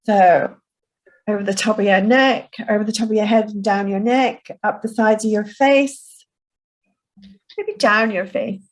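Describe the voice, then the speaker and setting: A woman guiding a group through a gentle self-soothing session, speaking softly and soothingly. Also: medium pitch, fairly even intonation, rough recording. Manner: soft, slow and soothing. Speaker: relaxation instructor.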